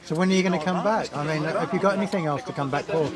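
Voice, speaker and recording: A man's voice: on the high side, racing. A man interviewing a cyclist's team director outdoors, asking casual, chatty questions with a teasing edge. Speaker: cycling reporter. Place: outdoors at a race finish. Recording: outdoor crowd noise behind. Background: crowd and vehicles.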